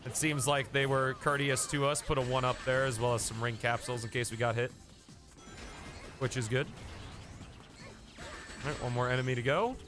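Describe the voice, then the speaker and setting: A man's voice: medium pitch, fairly even intonation, normal speed, long pauses, music in the background. A man live-streaming a game, chatting casually and thanking viewers by name, a bit sniffly from a coming cold.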